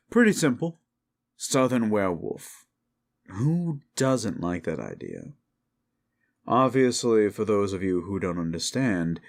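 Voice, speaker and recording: A man with a lowish voice talks casually to his audience. There is a noticeable creak in his tone. He sounds like an audio roleplay creator giving channel notes out of character. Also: a little mellow. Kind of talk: casual outro addressed to listeners.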